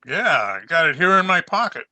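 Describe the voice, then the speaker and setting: An actor playing a tall, wary killer in an old radio suspense play, talking in curt, suspicious phrases. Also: male voice, on the high side, very animated, moderate pace, sharp tone, no room sound, exaggerated voice. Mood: wary, plotting. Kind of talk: 1940s radio suspense acting.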